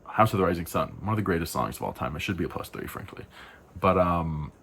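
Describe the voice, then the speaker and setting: A young man with a very low, deep voice runs through album after album in a loose, talky way, frank and a little rambling, often stopping to correct himself. His tone has a gravelly, fry-heavy edge, and faint background noise sits under the recording. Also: expressive, quick pace, fairly bright tone. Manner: rambling, frank, self-correcting with sighs and asides. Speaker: album reviewer. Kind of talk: casual spoken album rundown.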